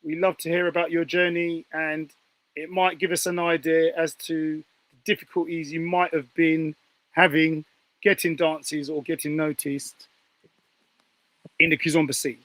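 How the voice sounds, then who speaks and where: A man's voice: fairly high pitch, flat delivery, measured pace, fairly bright tone, no echo. A man hosting a panel talk show in a chatty style. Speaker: presenter and host.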